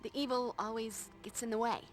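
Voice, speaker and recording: A woman's voice: on the high side, fairly even intonation, fairly quick, natural voice. An actress playing a quippy, confident young female vampire slayer in a video game, with an American accent. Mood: wry. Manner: quippy, sarcastic. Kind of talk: video game cutscene dialogue. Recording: music behind the voice.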